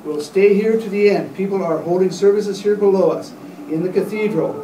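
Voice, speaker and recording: A man playing a solemn radio reporter gives a grave eyewitness account in a radio drama. The voice is rather high, recorded from far off in an echoey room with faint noise. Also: brisk, close to an everyday voice. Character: grave radio announcer witnessing an invasion from a rooftop. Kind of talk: live radio play reading. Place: hotel meeting room, panel table. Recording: distant camera mic in echoey room.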